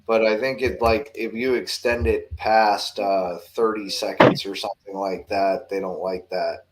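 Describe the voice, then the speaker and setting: A man's voice: lowish voice, fairly even intonation, measured pace, very noisy. A man chatting casually on a livestream panel, loose and swearing, laughing easily.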